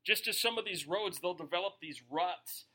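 A man's voice: high-pitched, normal speed, sharp tone, husky. A man preaching a sermon in a casual, joking way, often asking the audience questions. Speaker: pastor.

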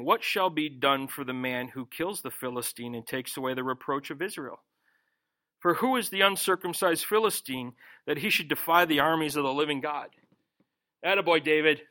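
A middle-aged man preaching a sermon, reading scripture and then commenting in an animated way, often acting out the voices he quotes. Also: a little high. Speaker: pastor. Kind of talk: expository sermon.

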